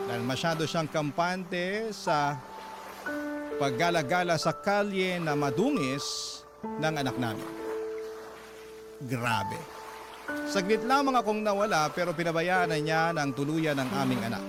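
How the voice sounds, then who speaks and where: A man's voice: a little high, animated, unhurried, long pauses. A man reading an aggrieved young mother's letter as radio drama narration, confiding and sardonic. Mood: exasperated, baffled. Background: loud background music.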